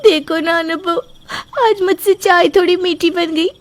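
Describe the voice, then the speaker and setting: A woman's voice: extremely high pitch, fairly even intonation, measured pace. Woman playing a scheming, whiny young wife. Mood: fake crying. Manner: theatrical, whining, fake tearful. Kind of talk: cartoon storybook voice acting.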